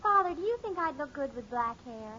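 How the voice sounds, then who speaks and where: A woman's voice: high-pitched, very animated, a little fast, pushed and bright, exaggerated voice. An actress playing a girlish, earnest young daughter in a 1950s radio sitcom. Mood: earnest, pleading. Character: chirpy young American daughter, girlish, wistful about looks. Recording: muffled, noisy old radio recording.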